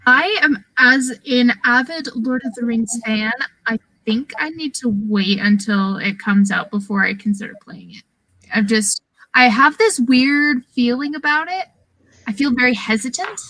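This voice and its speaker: A woman with a slightly high, very bright voice talks as a podcast guest. Her style is casual gaming chat among friends. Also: normal speed, poor audio.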